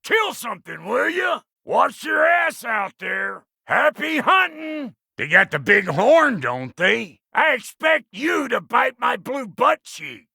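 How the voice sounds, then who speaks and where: An actor playing a gruff, foul-mouthed dwarf blacksmith in a video game, with a raspy voice, folksy rustic slang and swaggering insults. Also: male voice, very high-pitched, very animated, takes it slowly, few pauses, pushed and bright, dry recording, clean studio sound, full character voice. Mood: brusque, wry. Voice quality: gravelly, raspy. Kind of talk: video game character acting.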